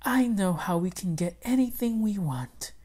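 A woman voicing an excitable, bossy old farmer's wife from a storybook, with exclamatory, drawn-out phrases. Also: mid-range voice, voice pushed up. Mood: excited. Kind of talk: storybook character voice.

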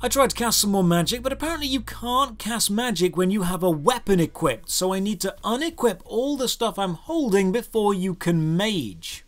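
A man's voice: sits high, animated, normal speed, hardly any pauses, fairly bright tone. A man narrating a scripted video game review in a sardonic, exasperated, emphatic way.

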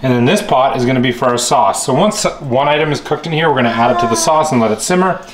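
A man in his thirties casually showing a recipe in his home kitchen, joking and gently coaching his young daughter. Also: medium pitch, expressive, fast talker, crowd noise. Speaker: home cook and vlogger. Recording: echoey kitchen with music behind. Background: background music and clattering pots.

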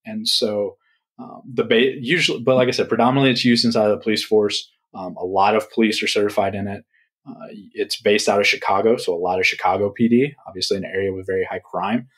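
A man answering interview questions in a relaxed, conversational way, often checking in with "right?". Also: on the low side, fast, breathy edge. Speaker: podcast guest.

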